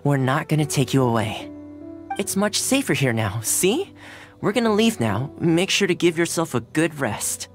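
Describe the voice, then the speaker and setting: Gentle, animated young anime-game hero. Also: male voice, a little high, moderate pace. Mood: soothing. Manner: gentle, friendly, reassuring. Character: kind, upbeat young swordsman fond of dogs. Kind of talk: video game character dialogue. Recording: music behind the voice.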